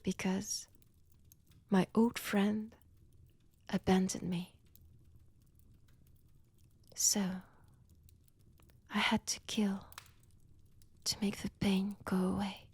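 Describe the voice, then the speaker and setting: An ancient female vampire with a French accent, acted by a woman, menacing yet tender in an intimate audio drama. Her voice is very breathy and often sinks to a whisper. She speaks slowly and deliberately, with theatrical pauses, very close and dry. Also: mid-range voice, flat delivery, darkish tone, no echo, heavily acted. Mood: anguished, betrayed.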